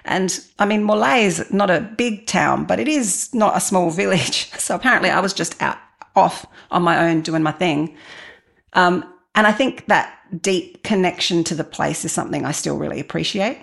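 A woman's voice: lowish voice, normal speed, creaky, a bit bright. A woman in her forties telling personal stories as an interview guest, reflective and candid in a conversational way. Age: 40s.

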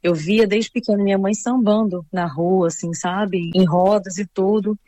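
A woman's voice: mid-range voice, steady tone, moderate pace, dark tone. A Brazilian woman with a Brazilian accent, reflecting casually on personal experience as an interviewee.

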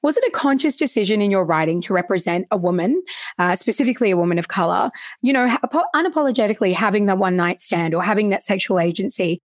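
A woman from the Middle East hosting a podcast interview, curious and playful with quick follow-up questions. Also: medium pitch, expressive, no room sound, clean studio sound. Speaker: podcast host. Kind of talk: casual interview chat.

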